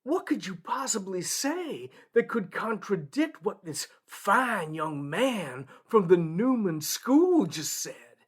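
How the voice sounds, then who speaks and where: A man's voice: sits high, sing-song, takes it slowly, husky, voice pushed up, exaggerated voice. Man putting on a drawling, pompous old-boy judge. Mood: beaming, approving. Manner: drawling, incredulous, clubby.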